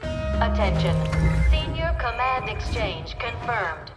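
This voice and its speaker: Game ship computer: slow, formal, impersonal announcements, heavy echo. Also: female voice, on the high side, very animated. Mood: impassive, alerting. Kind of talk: video game system announcement. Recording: echoey announcement over loud music.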